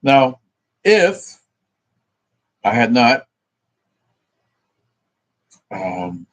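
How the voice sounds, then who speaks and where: Husky-voiced man rambling and swearing. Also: medium pitch, big pitch swings, extremely slow. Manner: profane, meandering, thinks aloud while doing sums. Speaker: vlogger. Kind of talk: rambling monologue.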